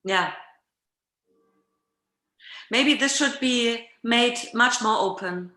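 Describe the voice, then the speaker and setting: Female discussion host, bright higher voice, halting delivery, roomy echo. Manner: hesitant, searching for words. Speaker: host of an art talk session. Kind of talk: unscripted discussion. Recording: echoey room.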